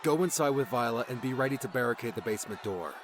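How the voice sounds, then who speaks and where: A man's voice: mid-range voice, animated, quick pace, crowd noise, noisy background, close to an everyday voice. An actor playing a young, hardened man who defiantly guards his home, terse and intense, in an audiobook drama. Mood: urgent.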